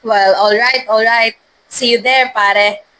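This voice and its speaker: A woman's voice: on the high side, fairly even intonation, slow, sharp tone. A woman voicing a chummy, secretive male colleague on a phone call in a dramatized story reading. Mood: breezy, chummy.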